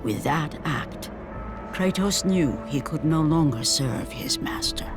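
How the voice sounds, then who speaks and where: A grave storyteller of ancient war legend for a game. The delivery is slow and deliberate, in a fairly high male voice, with clear dramatic music behind it. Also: lively intonation. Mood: grave, ominous. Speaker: narrator. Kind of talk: solemn epic scripted narration.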